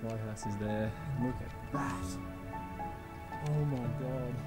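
A young man talking to a handheld camera in a relaxed, enthusiastic, casual travel-vlog style, outdoors and in a car. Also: mid-range voice, slow, over loud music. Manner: relaxed, enthusiastic, chatty with fillers. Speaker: travel vlogger. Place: outdoors on windy cliffs and inside a car. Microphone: camera-mounted mic, held at arm's length.

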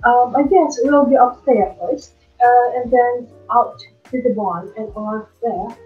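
A woman's voice: a little high, slow pace. A young woman playing a probing, dismissive male county attorney in an amateur student drama, with hesitant delivery. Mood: questioning. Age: young adult. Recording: muffled band-limited recording, music behind.

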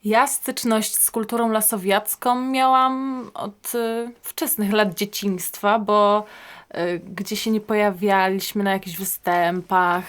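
Casting: young woman, slow measured delivery, personal reminiscence. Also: medium pitch, steady tone, fairly bright tone. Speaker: folk singer recounting her experience.

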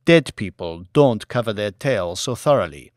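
A man voicing a terse, businesslike male investigator in an audiobook, measured and matter-of-fact. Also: on the low side, lively intonation, no echo, clean studio sound, natural voice.

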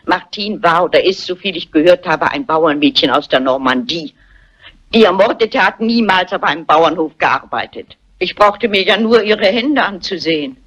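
An actress playing a shrewd, unflappable elderly lady amateur sleuth in a crime film, polite and matter-of-fact, with a husky voice. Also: fairly low pitch, expressive, normal speed, fairly bright tone. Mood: accusing, composed. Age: older. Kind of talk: German dubbed crime film dialogue. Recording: dull, muffled old film soundtrack.

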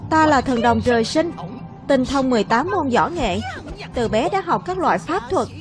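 A woman's voice: sits high, animated, normal speed. A woman voicing brash, bickering young heroes in a fairy-tale cartoon dub for children. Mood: boastful. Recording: music behind the voice.

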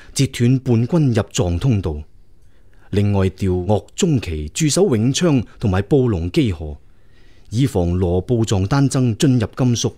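A man's voice: fairly low pitch, mellow. A man playing a loyal prince, the emperor's younger brother, advising him earnestly and deferentially in a radio drama. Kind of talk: Cantonese radio drama acting.

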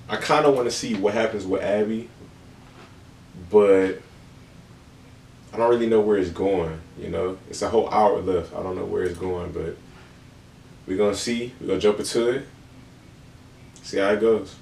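Young man, very low creaky voice, roomy. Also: normal speed, pauses often, a little mellow. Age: young adult. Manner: slangy, laid-back. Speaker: video host reacting to game cutscenes. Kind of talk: casual reaction commentary. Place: small indoor room at a desk. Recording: roomy, distant mic, noisy background.

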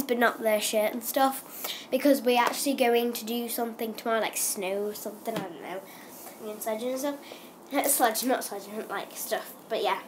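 A woman's voice: a little high, slight background noise. A young girl vlogging at home, chatting in a rambling way with lots of ums while she has a cold. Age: child. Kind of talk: casual chatty vlog.

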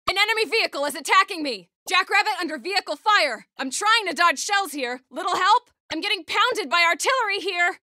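A plucky young woman driving a military scout vehicle in a video game. Her voice is very high and bright, with a dry, close studio sound. She speaks in clipped field reports, cheeky and jumpy. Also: no echo, heavily acted. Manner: clipped radio-call phrasing with wry quips. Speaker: game voice actor.

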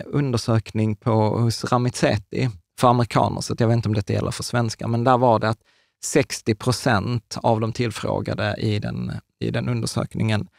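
A man's voice: medium pitch, vocal fry, a little mellow, no echo. A man in his thirties co-hosting a podcast, explaining with enthusiasm in a casual chat, speaking into a table studio mic in a small room. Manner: enthusiastic, restarts and fillers, gestures while talking. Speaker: podcast co-host. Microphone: large studio mic on a table stand.

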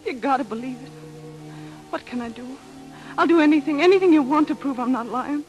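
A woman's voice: sits high, fairly quick, darkish tone, muffled recording, close to an everyday voice. An actress playing a desperate, world-weary working-class woman in love, emotional and imploring, in a 1930s radio drama. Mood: desperate pleading. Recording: dated 1930s radio recording, faint music.

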